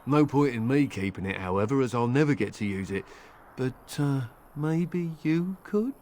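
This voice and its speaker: A man's voice: medium pitch, animated, normal speed, a little mellow, slight background noise, natural voice. An actor playing a hapless, nervous travelling merchant in a fantasy game, rambling and questioning himself as he talks. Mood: coaxing, sheepish. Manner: flustered, rambling, self-questioning. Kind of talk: video game dialogue acting.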